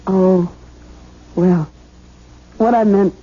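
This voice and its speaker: A woman's voice: mid-range voice, steady tone, very slow, warm tone. A woman playing an anxious, chatty young newlywed bride in an earnest, theatrical 1970s radio drama style. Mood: happy, affectionate. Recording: dull 1970s radio broadcast.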